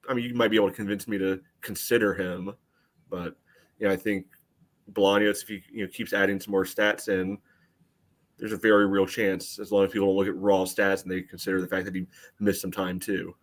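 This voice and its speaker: A man's voice: sits low, flat delivery, brisk. A man co-hosting a sports podcast, casually explaining soccer tactics with frequent asides.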